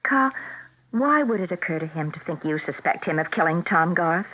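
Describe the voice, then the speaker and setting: Animated, concerned wife, old radio. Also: female voice, on the low side, moderate pace. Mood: worried. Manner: expressive, questioning, earnest. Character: concerned, sensible wife caught up in murder mystery. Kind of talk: 1970s radio drama acting. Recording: tinny vintage radio broadcast.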